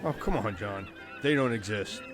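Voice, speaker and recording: A man's voice: lowish voice, lively intonation, moderate pace, noisy background, natural voice. A man playing a cynical, sardonic castaway in a comedy audio sketch. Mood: dismissive. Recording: music behind, blown-out homemade audio.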